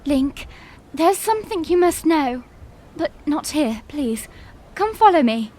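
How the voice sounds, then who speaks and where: An anxious young princess played by a woman in an audio drama. She speaks slowly and hesitantly in a high voice, earnest and full of worry. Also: big pitch swings, mellow, full character voice. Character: gentle, worried young princess, earnest and secretive. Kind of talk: fan audio drama acting.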